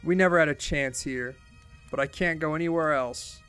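A man's voice: a little high, moderate pace, bright, forceful tone, smooth, faint music behind. A man voicing a drunk young college dropout from a game, crude and maudlin, rambling in slangy, self-deprecating bursts. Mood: drunken despair.

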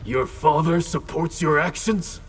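A man's voice: fairly high pitch, a little slow, soft background music, close to an everyday voice. A man voicing a grave male warrior bound by honor in a video game, speaking in a solemn way.

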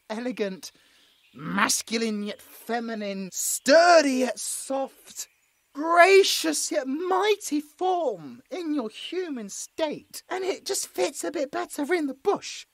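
A man's voice: extremely high pitch, lively intonation, measured pace, no echo, heavily acted. A British man playing a nervous, squeamish young man who pleads and stammers his way through a roleplay audio drama. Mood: desperate flattering. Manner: stammering, flustered, exasperated.

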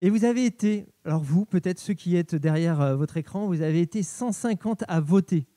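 A man's voice: high-pitched, a little fast, warm tone, no echo, studio quality. A middle-aged man co-hosting a casual live stream with enthusiasm, speaking through a face mask into a handheld microphone. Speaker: co-host, librarian. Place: small decorated indoor stage set.

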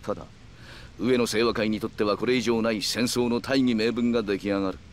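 An actor playing a calm, calculating middle-aged yakuza man who speaks in polite, measured, formal phrases in a video game. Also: fairly low pitch, slight background noise, close to an everyday voice. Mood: cool, persuasive. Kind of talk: Japanese video game cutscene acting.

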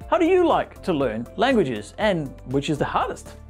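Guy in his 40s hosting tech news, speaking english, really lively pitch, bit of wry humour, music under it. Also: moderate pace, slightly rough. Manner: animated, playfully wry. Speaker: host. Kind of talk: scripted tech news presenting to camera. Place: small indoor studio. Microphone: lapel mic.

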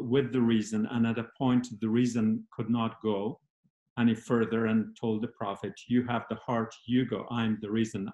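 A man speaking as a panel guest in a reflective discussion, slow and measured with many pauses and fillers, on a video call from a home study. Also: fairly low pitch, a little mellow, lo-fi sound. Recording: roomy video call audio.

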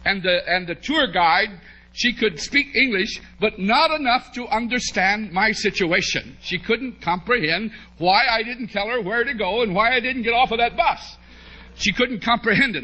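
High, forceful American preacher man. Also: lo-fi sound. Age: middle-aged. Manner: animated, humorous anecdotal telling with drawn-out emphasis. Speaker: preacher, bishop. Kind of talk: storytelling sermon to a congregation. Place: large church hall, at a pulpit before a congregation. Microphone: podium microphone on a stand.

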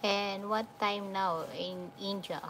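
A Filipino woman in her thirties chatting slowly and softly with viewers during a phone live stream at night. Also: medium pitch, fairly even intonation, slight background noise, poor audio. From Philippines. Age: 30s. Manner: slow, soft-spoken, thanks viewers often. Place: dim room at night, indoors. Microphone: phone held close.

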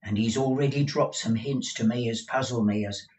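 A reader voicing an agitated, eccentric older male clerk who stammers and pleads in a slow audiobook reading. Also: medium pitch, a little mellow, recorded from a distance, close to an everyday voice, poor audio. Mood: secretive. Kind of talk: audiobook character voice.

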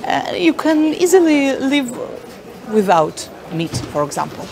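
A woman's voice: sits high, very animated, unhurried, creaky, crowd noise. A middle-aged woman whose first language is Russian, talking in a casual travel vlog to her phone with many fillers and asides to viewers. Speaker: vlogger. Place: busy indoor market hall. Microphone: phone at arm's length.

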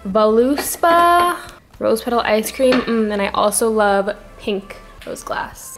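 A woman's voice: fairly high pitch, noisy background. A woman in her twenties chatting informally and casually as a vlogger while she cooks at home. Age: 20s. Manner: informal, chatty, talks affectionately to pets. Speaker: vlogger and host of a home cooking series. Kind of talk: casual vlog chat while cooking. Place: home kitchen, indoors. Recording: echoey room, music behind.

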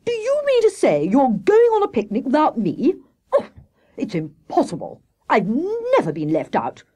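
A woman voicing a vain, melodramatic, excitable male hare in a children's story, with a theatrical, indignant, wailing manner. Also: medium pitch, unhurried, slightly rough, pitched above the performer's own voice, heavily acted.

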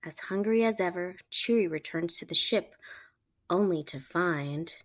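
Low-pitched woman reading a children's story. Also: measured pace. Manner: animated, emphatic storytelling with dramatic emphasis. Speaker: storyteller reading a children's picture book aloud. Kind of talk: expressive read-aloud narration for children.

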